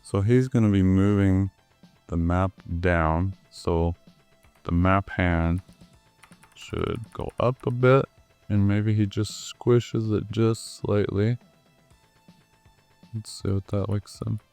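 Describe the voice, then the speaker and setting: Hoarse male tutor, low and unhurried, easygoing, with filler words. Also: faint music behind. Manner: relaxed, with many ums and uhs. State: hoarse, recovering from flu. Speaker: animator. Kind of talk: screen-recorded tutorial walkthrough.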